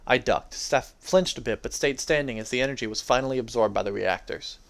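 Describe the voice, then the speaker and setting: A man's voice: mid-range voice, brisk, vocal fry. A young adult man giving a dramatic reading of his own first-person story.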